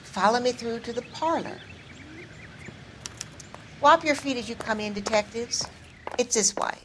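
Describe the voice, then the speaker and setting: A woman's voice: fairly high pitch, a little slow, background noise, close to an everyday voice. A woman playing a prim, formal 1940s boarding house owner in a video game. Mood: prim, courteous. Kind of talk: naturalistic video game acting.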